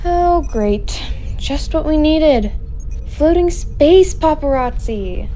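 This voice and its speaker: A woman's voice: high voice, expressive, slow, dark tone, highs cut off, soft background music. A woman playing a weary, sarcastic spaceship engineer, dry and exasperated, in a comedic sci-fi audio drama. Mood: sarcastic, resigned.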